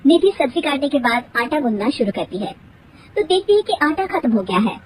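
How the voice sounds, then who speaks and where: A woman narrates a scripted cartoon story in a high voice with animated intonation. The recording sits slightly away from the mic, with faint noise. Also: brisk, recorded from a distance, rough recording.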